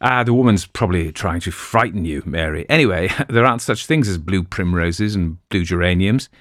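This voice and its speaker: A man's voice: sits low, animated, normal speed, a bit bright, no room sound, clean studio sound. A man voicing a patient, dryly amused husband who speaks in a mild, wry way.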